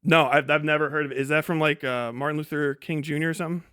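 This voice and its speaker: A man's voice: fairly high pitch, steady tone, quick pace, fairly bright tone, clean tone, dry recording, clean studio sound. A man debating politics on a livestream in an assertive, combative and pedantic way.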